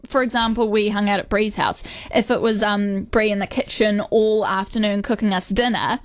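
A woman's voice: mid-range voice, fairly even intonation, moderate pace. A woman in her twenties chatting casually in a group debate, hedging and defending herself. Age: 20s. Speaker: show panelist.

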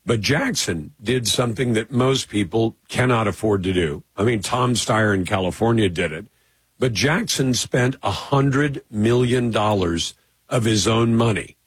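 A man hosting a political talk radio show, speaking in a brisk, emphatic monologue with a slightly hoarse voice. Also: fairly low pitch, no room sound. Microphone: close studio mic.

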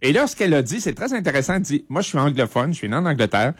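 A middle-aged man with a Quebec French accent, presenting a music segment on radio in a conversational, halting, informative way. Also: a little high, big pitch swings, slightly rough, no room sound.

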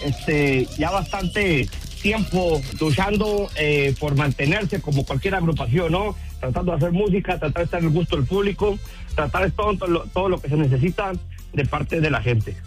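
A man from Durango, a band's singer speaking in a relaxed, rambling and friendly way on a phone-in radio interview. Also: a little high, moderate pace, soft background music. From Durango, Mexico. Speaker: band vocalist, phone guest.

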